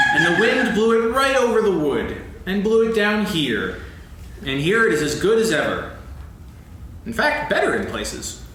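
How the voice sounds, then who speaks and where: In a very echoey hall with faint noise, a man acts a gloomy old donkey from a children's story play. He is mournful, deadpan and full of self-pity, yet his pitch swings widely. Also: heavily acted. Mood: smug. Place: on stage in a hall.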